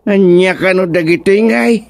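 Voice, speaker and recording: A man's voice: high-pitched, moderate pace, vocal fry, a little mellow. A male actor playing a jittery, puzzled village sidekick in an Ilocano radio comedy drama, exclaiming and questioning theatrically with an Ilocano Filipino accent. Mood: bewildered.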